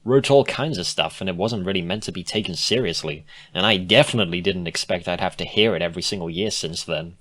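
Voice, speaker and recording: A man's voice: sits low, fast, hardly any pauses, a bit bright. Man playing a dry, exasperated female pony. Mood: wry. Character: sardonic, put-upon young female unicorn pony.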